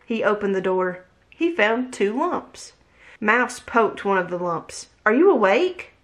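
A woman in her thirties reading a picture book aloud with gentle, expressive storytelling, at home on a webcam. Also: medium pitch, slow pace. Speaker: read-aloud host. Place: home room, indoors. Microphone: webcam at desk distance.

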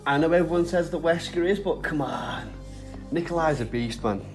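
A man's voice: fairly high pitch, moderate pace. A man giving casual, chatty commentary as he plays a video game. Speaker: gamer, let's play host. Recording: game music behind the voice. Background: video game music.

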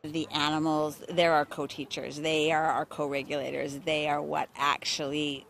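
A woman's voice: deep voice, little pitch movement, normal speed, gravelly, fairly bright tone, slight background noise. A middle-aged woman, co-founder of a farm school, speaking earnestly in an outdoor interview. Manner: earnest, explanatory, with fillers like "like". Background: faint outdoor ambience.

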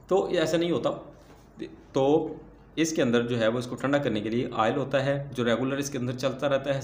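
A man teaching repair at a workbench, explaining step by step and asking himself questions before answering them. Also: medium pitch. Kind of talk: hands-on repair tutorial. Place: workbench indoors, off camera. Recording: echoey room.

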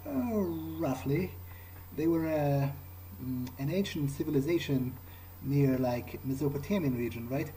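A man improvising in character during a game playthrough, speaking in a measured, deliberate, hesitant manner. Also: medium pitch, dark tone, a little hiss or hum, rough recording. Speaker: gamer role-playing his character.

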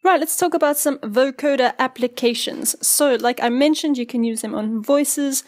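A woman with a slightly high voice presents a software tutorial. She explains each point in a casual, instructional way. The recording is close-miked and fairly dry. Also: moderate pace, dry recording.

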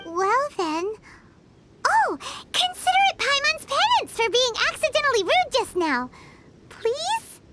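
A woman's voice: extremely high pitch, big pitch swings, measured pace, heavy background noise, full character voice. A woman voicing a tiny, chatty female fairy companion in a video game, eager and talking about herself in the third person. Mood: pleading. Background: game music.

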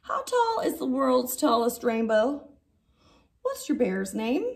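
A woman's voice: sits high, big pitch swings, slow pace, heavily acted. A woman voicing a curious, cheeky little girl in a picture book, earnest and eager in her questioning.

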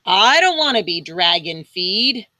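A woman's voice: mid-range voice, very animated, measured pace, pushed and bright, dry recording. A woman voicing a jittery farm dog. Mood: nervous. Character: nervous wisecracking farm dog.

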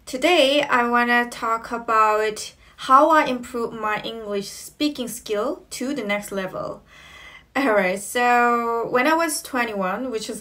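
A woman in her thirties, a native Korean speaker, talks straight to the camera from a small room at home. Her voice sits slightly high and she takes her time, with a friendly "you know" and the odd "um" along the way. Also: sharp tone. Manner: slow, careful, friendly with fillers like "you know" and "um". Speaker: English learning vlogger. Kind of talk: casual tips to camera.

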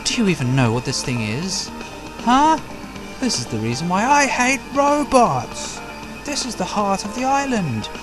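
A shrill, sneering villain scientist from a video game, put on by a man reading the dialogue aloud. He shouts his contempt in a voice pushed far above the speaker's own, with loud game music pounding behind him. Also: big pitch swings, a little slow. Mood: scornful.

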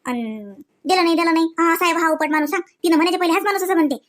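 A woman's voice: extremely high pitch, racing. A woman playing a gossipy, quarrelsome village woman in a comedy cartoon, complaining indignantly in colloquial Marathi with a Varhadi accent. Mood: defiant.

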